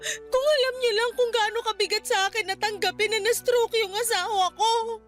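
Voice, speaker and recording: A woman's voice: very high-pitched, normal speed, faint music behind. A woman playing a hurt, respectful young adult daughter protesting plaintively in a Filipino radio drama. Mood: tearful, protesting. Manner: plaintive, pleading.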